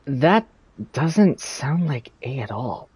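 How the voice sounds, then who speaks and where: A dark, husky voice of a young male adventurer in a game. He sounds level-headed and curious, at a middling pitch. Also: sing-song, slow, heavily acted. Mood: doubtful. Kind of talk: video game character acting.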